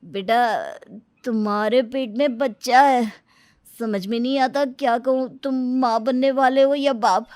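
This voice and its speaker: A woman voicing a stern, flustered older male doctor for a cartoon, her voice pitched low. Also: fairly even intonation, a little slow, darkish tone, dry recording, studio quality, lightly acted. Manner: stern, exasperated.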